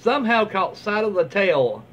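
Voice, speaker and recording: Higher-voiced middle-aged man, dull recording. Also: big pitch swings, moderate pace. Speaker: video host reading online stories. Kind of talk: casual read-aloud with off-the-cuff comments. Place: small room at home, indoors, seated in armchair. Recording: dull lo-fi home recording, faint noise.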